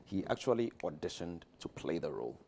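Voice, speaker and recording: A Ghanaian man with a low voice speaks as an interview guest who directs theatre. He talks very quickly and emphatically, often repeating a phrase to drive it home. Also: big pitch swings, darkish tone, slight background noise. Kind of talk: animated promotional interview chat.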